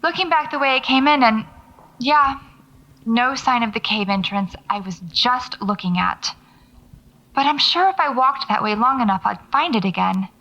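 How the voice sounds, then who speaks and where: A woman's voice: on the high side, expressive, normal speed, sharp tone, highs cut off. A woman acting as a wry, stubborn paranormal investigator in an audio drama, talking to herself as she records field notes. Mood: wary, focused. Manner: muttering to herself, sardonic, self-coaching.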